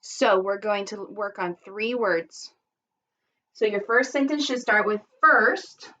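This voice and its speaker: A woman's voice: mid-range voice, slow pace, sharp tone. A woman primary school teacher speaking expressively and instructively to young children in simple phrasing, as in a read-aloud lesson.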